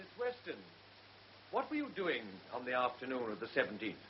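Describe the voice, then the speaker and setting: A man playing a courteous, deferential British prosecuting barrister in a radio drama, questioning in a formal, measured way. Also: medium pitch, wildly swooping pitch, slight background noise. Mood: formal, probing. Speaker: actor. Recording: muffled vintage radio drama recording.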